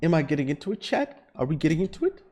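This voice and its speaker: A man streaming live, reacting to his own video, talking in a rambling, excitable way with many fillers and restarts while he loses his voice. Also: a little high, very fast, slight background noise. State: losing his voice.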